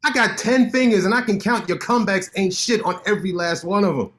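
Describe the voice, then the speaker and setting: An actor playing a cocky, sharp-tongued male lawyer in a staged play reading, teasing his friend with quick banter. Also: high-pitched.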